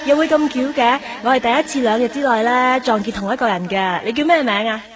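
Actress as high-voiced playful Hong Kong girl. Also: fast, lightly acted. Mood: playful, friendly. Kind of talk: radio drama acting. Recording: clear ambient vehicle noise.

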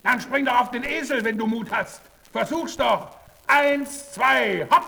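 A man playing a mean, taunting coachman with a gravelly, sharp voice in a children's radio play. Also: extremely high pitch, measured pace, very noisy, full character voice. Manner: taunting, gloating. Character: mean, mocking male coachman villain luring boys.

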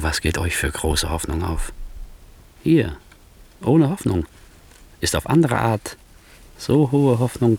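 A ruthless, smooth-tongued nobleman tempting his listener in a classic radio play. His low voice is husky and swings widely in pitch. He speaks in theatrical, rhetorical verse, full of insinuation and ambition. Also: darkish tone, exaggerated voice. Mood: urgent, persuasive.

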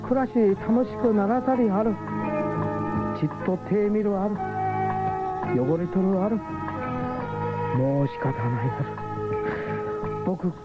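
Comic radio drama role: penniless hungry Chinese man, mock accent, slow wailing delivery, tinny tape with loud music. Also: sits high. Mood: comic despair. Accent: mock Chinese-accented Japanese. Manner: drawn-out, exaggerated pidgin lament. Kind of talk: 1970s radio drama comedy acting. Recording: muffled 1970s radio, loud music behind.

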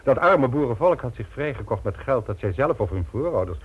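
An actor playing a megalomaniac, smugly polite criminal mastermind in a radio drama, making grandiose, condescending speeches. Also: male voice, mid-range voice, lively intonation, brisk, breathy edge. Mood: mocking. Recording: dull lo-fi old radio recording.

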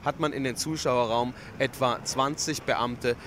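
A man giving an on-location radio news report in measured, separate phrases. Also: medium pitch, fairly even intonation. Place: on location outside a theatre. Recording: loud street noise, traffic behind.

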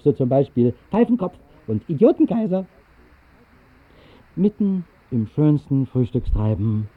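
A man narrating a scripted literary radio play in a measured, articulate way with deliberate emphasis. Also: medium pitch, husky. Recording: muffled, narrow-band 1960s radio recording.

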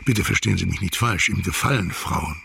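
A man's voice: sits low, a little fast, gravelly, bright, forceful tone, slight background noise, exaggerated voice. An actor playing a stern, middle-aged widowed businessman and exhausted father in a radio crime drama, speaking formally, bluntly and with tight control. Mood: bitter, controlled.

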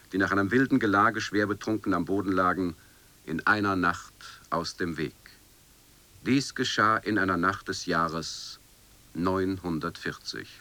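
A man narrating a scripted radio piece in formal, measured declamation, like a chronicle or news report. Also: on the low side, sharp tone.

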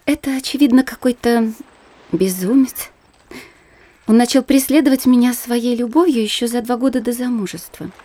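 Woman voicing young gentle princess, husky, mellow, soft and restrained, radio drama. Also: a little high, moderate pace, natural voice. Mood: confiding. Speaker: actress in audio play. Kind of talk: theatrical radio play acting.

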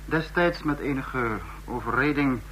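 Man playing a bright, commanding fascist leader. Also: medium pitch, unhurried, lightly acted. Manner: formal, authoritative, clipped. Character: brisk, commanding dictator of a fascist state. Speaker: radio drama actor. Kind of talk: mid-century radio play acting. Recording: noisy, humming old radio recording.

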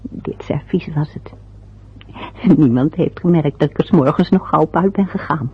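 A woman's voice: sits low, normal speed, breathy edge. An actress playing a fussy, anxious older mother in a radio play, chattering and muttering to herself. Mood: fretful. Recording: dull lo-fi old radio recording.

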